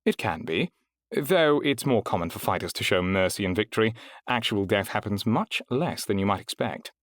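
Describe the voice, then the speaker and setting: A man voicing a polished female fight registrar with a smooth accent, asking brisk formal questions. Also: lowish voice, animated, no echo, clean studio sound, natural voice. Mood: explaining.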